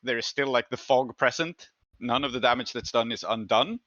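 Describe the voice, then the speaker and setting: A man's voice: mid-range voice, normal speed, bright, forceful tone, no echo, highs cut off. A man running a tabletop game as game master, narrating and making rulings in a thoughtful, deliberative way.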